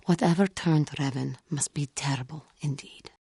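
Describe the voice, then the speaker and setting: Casting: female alien Jedi warrior for a game, earnest and wounded, low mellow voice with a husky grain, formal and measured. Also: no echo, studio quality, exaggerated voice. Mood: solemn, resolute. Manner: formal, measured, grave phrasing with few contractions. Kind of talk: video game character dialogue.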